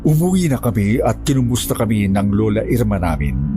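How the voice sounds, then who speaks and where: Guy narrating a scripted horror story, low voice, music clearly behind him. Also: sing-song, brisk. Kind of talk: scripted first-person narration.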